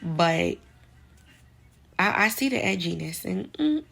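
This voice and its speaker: A woman's voice: fairly low pitch, big pitch swings, slow, vocal fry. A young adult woman with a New York accent, chatting casually and directly to her viewers in an advice vlog, with lots of "like" fillers. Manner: chatty, speaks straight to viewers, many "like" fillers. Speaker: vlogger.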